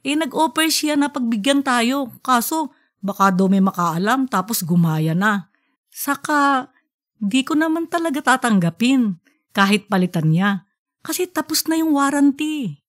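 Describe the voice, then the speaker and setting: A woman's voice: high voice, expressive, a little slow. Woman as practical wife and mother, matter-of-fact, opinionated, audiobook dialogue.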